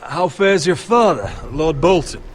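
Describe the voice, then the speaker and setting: An actor playing a defiant young noble lord in a fantasy game, speaking firmly and formally. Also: male voice, fairly high pitch, expressive, a little slow, a little hiss or hum. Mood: stern, guarded. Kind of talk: dramatic fantasy video game acting. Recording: music behind the voice.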